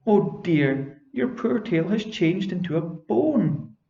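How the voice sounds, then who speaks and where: A man voicing a small, frightened, kind female fairy in a high, pleading voice for a storybook reading. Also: moderate pace, gravelly, a little mellow, reverberant, dull audio. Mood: coaxing.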